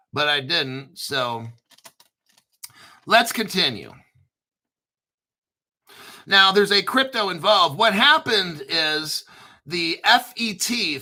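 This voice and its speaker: A man's voice: high voice, bright, forceful tone. A man hosting his own crypto channel, talking casually and conspiratorially, spelling out names letter by letter and swearing now and then. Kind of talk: casual solo commentary.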